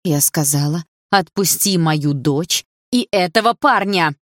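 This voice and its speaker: A woman's voice: low voice, fairly even intonation, normal speed, darkish tone, breathy edge, no room sound, studio quality. A woman voicing a desperate, protective mother in an audiobook, emotional, pleading and defiant. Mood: defiant, furious. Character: desperate protective mother, long-suffering wife standing up. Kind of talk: audiobook character dialogue.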